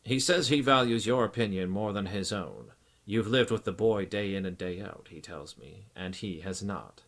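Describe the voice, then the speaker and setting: A man voicing a stout psychiatrist in an audiobook, grave and solicitous, with an earnest, deliberate, clinical manner. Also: lowish voice, lightly acted. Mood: frank, measured. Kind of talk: audiobook character acting.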